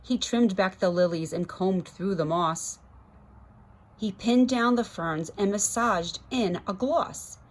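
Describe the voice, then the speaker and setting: Expressive woman reading a children's story. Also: mid-range voice, takes it slowly. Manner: rhythmic, dramatic, addressing children. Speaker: guest storybook reader. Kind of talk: expressive children's read-aloud, chatting to listeners.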